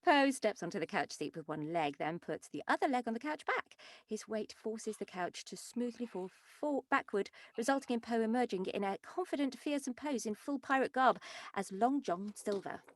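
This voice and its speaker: A woman's voice: on the high side, expressive, normal speed, no echo. Woman reading stage directions, dry sound. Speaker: script narrator.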